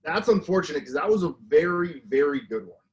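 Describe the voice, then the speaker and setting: A man's voice: fairly high pitch, sing-song, fairly quick. A man chatting casually as a podcast co-host. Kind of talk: casual chat.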